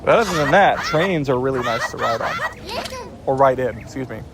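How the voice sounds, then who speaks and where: A man's voice: mid-range voice, expressive, a little fast, very noisy. A man giving casual let's-play commentary, a little stuffed up, rambling and often correcting himself mid-sentence. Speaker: gameplay commentator.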